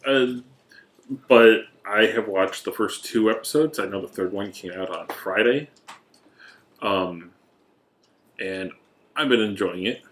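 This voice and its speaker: Low-voiced man bantering casually on a podcast. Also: unhurried, long pauses. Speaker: podcast co-host. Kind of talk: casual banter between friends.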